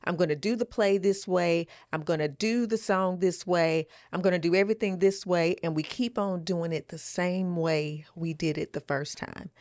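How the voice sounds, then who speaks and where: A woman's voice: on the low side, fairly even intonation, normal speed, hardly any pauses, a little mellow, dry recording, studio quality. A woman co-hosting a podcast, giving an earnest, encouraging motivational talk. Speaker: podcast co-host.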